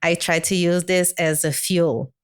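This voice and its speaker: A woman's voice: fairly low pitch, fairly even intonation, unhurried, clear, resonant tone. A woman from Brazil with a Brazilian Portuguese accent, a native Portuguese speaker, talking with enthusiasm and gratitude as a podcast guest. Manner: enthusiastic and grateful. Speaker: guest designer. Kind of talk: podcast interview.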